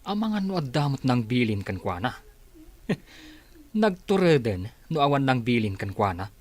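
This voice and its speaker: Animated Ilocano man, suspicious henchman. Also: mid-range voice, normal speed. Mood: wary. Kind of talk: Filipino radio drama acting.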